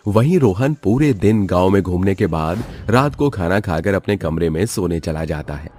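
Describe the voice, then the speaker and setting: A man's voice: deep voice, animated, normal speed, darkish tone, a little hiss or hum. A man narrating a scripted horror moral tale as a storyteller.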